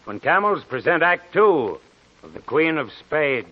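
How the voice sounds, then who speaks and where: A man's voice: a little high, very animated, measured pace. A man announcing a 1940s radio mystery show in a scripted, dramatic style. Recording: dull, noisy old radio recording, crowd behind.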